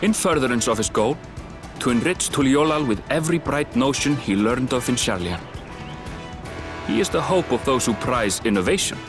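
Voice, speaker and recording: An actor playing a composed male guide who explains rivals and history in a measured, slightly dry way in a video game cutscene. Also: mid-range voice, animated, lightly acted. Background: game score music.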